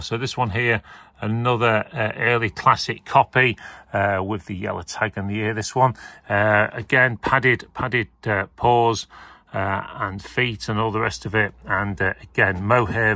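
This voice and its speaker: Chatty bright-voiced auctioneer. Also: male voice, on the low side, dry recording. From England. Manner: chatty, rambling, with many fillers. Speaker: auctioneer showing lots. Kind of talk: casual video walkthrough. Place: indoors beside a leather armchair.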